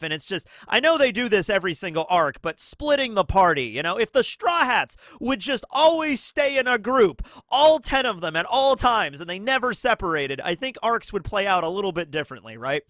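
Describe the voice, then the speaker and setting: A man hosting his own commentary channel, talking in a chatty, excitable way with lots of rhetorical questions, his throat scratchy and his nose sniffly from a cold. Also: high voice, no room sound. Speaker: channel host. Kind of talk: casual commentary video.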